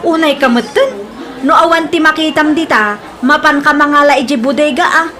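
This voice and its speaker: A high, sharp-tongued female supervisor with an Ilocano accent, acted by a woman. She scolds impatiently and sounds exasperated, as a comedy-drama figure. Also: normal speed. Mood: irritated scolding. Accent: Filipino, Ilocano.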